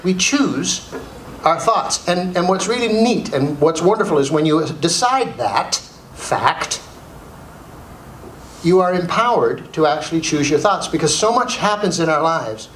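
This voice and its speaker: A middle-aged Canadian man giving a humorous motivational keynote as an animated storyteller, repeating phrases for emphasis. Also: on the high side. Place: indoor speaking venue with audience. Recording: roomy live hall, faint background noise.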